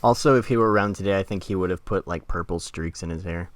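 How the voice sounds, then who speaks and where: A man's voice: sits low, fast talker, no echo. A man co-hosting a podcast in casual, joking chat, speaking in an informal, rambling and tentative way. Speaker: podcast co-host.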